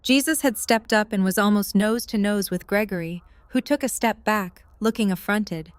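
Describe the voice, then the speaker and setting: Smooth-voiced woman narrating a story. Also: mid-range voice, moderate pace, dry recording.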